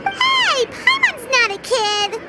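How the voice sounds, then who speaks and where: A woman voicing a tiny, excitable, curious fairy companion in an anime game, exclaiming in childlike fashion over background music. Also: very high voice, slow, heavily acted. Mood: excited. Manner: speaks of self in third person, exclamatory.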